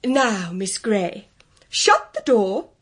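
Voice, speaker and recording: A woman's voice: medium pitch, sing-song, extremely slow, husky, heavily acted. A woman playing a vain, bossy, haughty young society lady in a period audio drama. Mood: self-important. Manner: haughty, affected, scolding.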